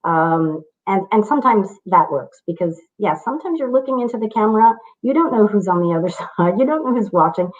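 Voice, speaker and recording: A woman's voice: medium pitch, lively intonation, a little fast, few pauses. Encouraging coach lady on a video call, kinda muffled lo-fi sound. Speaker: public speaking coach, guest. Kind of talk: casual interview chat.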